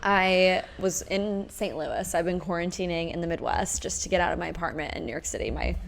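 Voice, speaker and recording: A woman's voice: lowish voice, a little fast, creaky. Chatty young woman from Nebraska. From Omaha, Nebraska, United States. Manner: chatty, rambling, filler-heavy. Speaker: guest. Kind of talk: casual chat.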